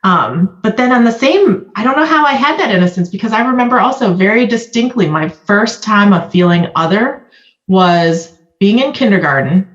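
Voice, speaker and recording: A woman's voice: medium pitch, lively intonation, normal speed. Woman on a dull, echoey line. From Vietnam. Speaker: guest. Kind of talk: candid conversational discussion.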